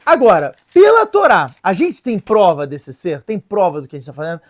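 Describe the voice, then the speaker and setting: A woman presenting a casual lesson in an animated, joking way, stopping to read and translate sources. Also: fairly low pitch, normal speed. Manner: animated, playful, joking asides; reads and translates sources aloud. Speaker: religious video presenter.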